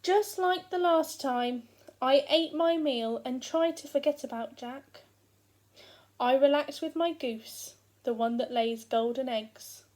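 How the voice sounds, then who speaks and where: Woman reading as a slow-talking, grumbling storybook giant. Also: sits high, darkish tone. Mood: aggrieved, angry. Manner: complaining, sarcastic, emphatic. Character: grumbling, self-pitying, hungry giant husband, huge and aggrieved. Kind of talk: first-person storybook narration read aloud.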